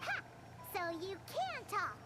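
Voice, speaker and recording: A woman's voice: very high-pitched, huge pitch swings, slow, exaggerated voice. A woman voicing a small, perky, feisty fairy companion in a video game, cheeky and exclamatory. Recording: game audio under loud music.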